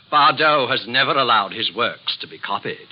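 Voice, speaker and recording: A man playing a polite art gallery owner in a 1950s radio drama, with a courteous, hesitant, halting delivery. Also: mid-range voice, lively intonation. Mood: politely amused. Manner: courteous, halting, full of ums and pauses. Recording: muffled vintage radio recording, faint noise.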